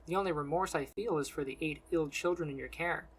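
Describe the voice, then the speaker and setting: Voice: medium pitch, a little fast, slightly rough, poor audio. A narrator voicing a solemn, compassionate young man with healing powers, dry and restrained. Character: solemn young male healer with godlike powers, compassionate, self-righteous.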